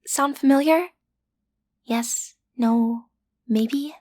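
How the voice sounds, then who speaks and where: A woman's voice: fairly high pitch, extremely slow, darkish tone. A woman playing a cute teenage girl who is training to be a supervillain, sassy and theatrical but a little awkward, in a roleplay audio drama. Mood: proud, explaining. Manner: theatrical, sassy, hesitant when breaking character.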